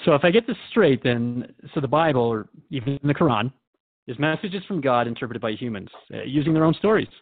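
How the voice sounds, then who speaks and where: A man's voice: mid-range voice, lively intonation, fairly quick, phone-quality sound. A man playing a curious, wry radio host who questions his guest conversationally in an audio drama. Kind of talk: scripted radio drama interview.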